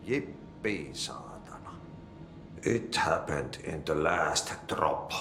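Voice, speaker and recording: An odd, jolly Finnish janitor with a lowish voice who drawls his mangled sayings in a lilting, unhurried way. The game line sounds echoey, with steady noise behind it. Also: full character voice. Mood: jovial. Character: eccentric cheerful male janitor, cryptic. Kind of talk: video game cutscene acting.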